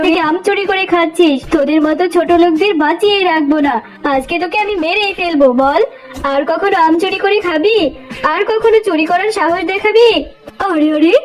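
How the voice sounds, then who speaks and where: A woman voicing a cruel, haughty tigress wife in a melodramatic cartoon, scolding and threatening with open contempt. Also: very high voice. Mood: furious scolding, then alarmed, callous. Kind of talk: melodramatic cartoon story acting.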